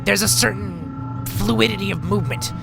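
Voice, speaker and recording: Grumpy veteran robot doctor, acted by a man, very creaky gravel, highish pitch, sarcastic, blunt, audio drama, music underneath. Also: moderate pace. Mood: dry, guarded. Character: grumpy, sardonic veteran male robot chief medic.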